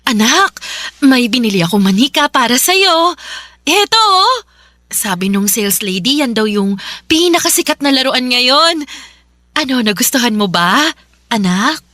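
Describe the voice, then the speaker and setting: A woman's voice: high voice, sing-song, measured pace, long pauses, pushed and bright, no room sound, clean studio sound, full character voice. A woman playing a remorseful, emotional mother who pleads and coaxes in a Tagalog radio drama. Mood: eager, tearful. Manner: emotional, coaxing, imploring. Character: pleading, remorseful mother longing for her young child.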